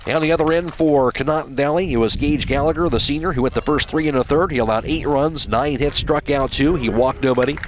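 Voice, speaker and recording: A man's voice: a little high, a little fast, hardly any pauses, background noise. An American man working as a radio sportscaster, giving a post-game baseball recap.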